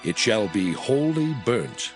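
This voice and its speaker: A man playing God proclaiming sacred laws in a dramatized scripture reading, solemn, deliberate and declamatory. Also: on the low side, big pitch swings. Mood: solemn, commanding. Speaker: scripture voice actor. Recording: music under the voice. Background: background music.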